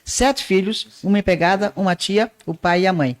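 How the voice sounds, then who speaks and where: An older woman from Northeast Brazil with a softened Northeastern accent, telling her life story as an interview guest in an unhurried way. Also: medium pitch. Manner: unhurried storyteller with small restarts. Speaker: guest, art teacher and radio presenter.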